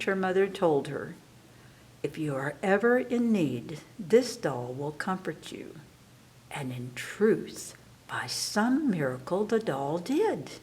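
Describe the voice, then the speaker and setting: A woman librarian reading a fairy tale aloud at storytime, gentle and at times dropping to a whisper. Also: low-pitched. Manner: gentle, dips into soft whispers. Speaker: children's librarian.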